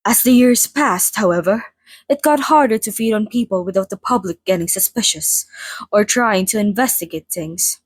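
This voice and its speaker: A woman voicing a playful, theatrical century-old female vampire in a chatty, mock-formal ASMR roleplay monologue, with a soft, breathy voice. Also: mid-range voice, normal speed, no room sound, lightly acted. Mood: gossipy, wry. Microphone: close studio microphone, dry.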